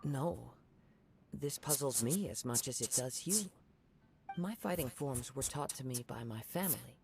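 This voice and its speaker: Brief: man playing a calm, courteous young samurai, gravelly, formal, measured. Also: medium pitch, noisy background, natural voice. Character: calm young male wandering samurai, polite and reserved. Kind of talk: video game dialogue.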